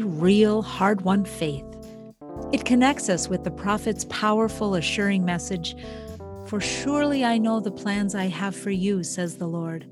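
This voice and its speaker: A woman hosting a podcast, speaking in an effusive manner with deliberate emphasis. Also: mid-range voice. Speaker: podcast host. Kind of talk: warm podcast hosting, introductions.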